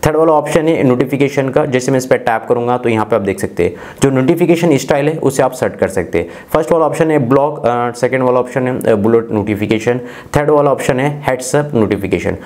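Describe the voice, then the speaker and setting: A man's voice: mid-range voice, lively intonation, fast, darkish tone. A man in his twenties with an Indian accent, presenting a tech walkthrough step by step and mixing in English technical terms. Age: 20s. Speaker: tech reviewer and channel host. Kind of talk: scripted-sounding phone feature walkthrough.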